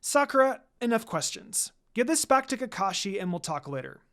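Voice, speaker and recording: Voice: mid-range voice, very animated, normal speed, a bit bright, pitched above the performer's own voice. A narrator as a woman village leader, commanding, direct, questioning, very varied intonation, story dialogue.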